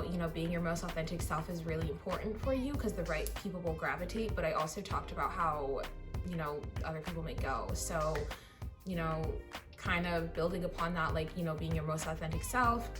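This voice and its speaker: Chatty girl in her twenties, bit hoarse, low voice, sounds far from the mic with music behind. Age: 20s. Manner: chatty, lots of "like" and "you know". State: slightly hoarse. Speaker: vlogger. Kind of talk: casual chat to camera.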